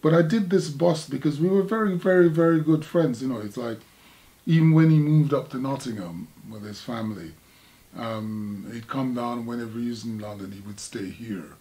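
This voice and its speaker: Gravelly older Jamaican man reminiscing. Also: medium pitch, mellow, poor audio. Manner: reflective, halting storyteller. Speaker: artist. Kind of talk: casual recollection in conversation.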